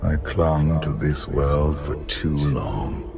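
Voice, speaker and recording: Abyssally deep ancient spirit emperor. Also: male voice, full character voice. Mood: cold, imperious. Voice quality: gravelly. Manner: slow, grandiose, solemn. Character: ancient male emperor spirit, cold, imperious, contemptuous. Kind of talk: dramatic game acting. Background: music.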